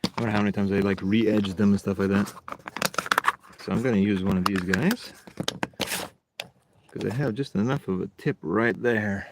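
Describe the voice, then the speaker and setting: A man's voice: fairly low pitch, steady tone, moderate pace, long pauses. A man hosting a homestead livestream, sniffly from allergies, talking to himself and swearing casually while he works outdoors, picked up by a camera set up nearby. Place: on his homestead, working outdoors. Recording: noisy work-site recording.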